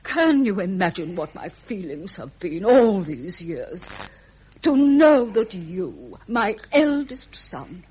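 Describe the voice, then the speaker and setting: A woman's voice: medium pitch, big pitch swings, darkish tone, slight background noise. An actress playing a stern, grieving old British queen and reproachful mother in a radio drama, formal and imperious. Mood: disappointed, reproachful. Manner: imperious, measured, formal, emotionally wounded.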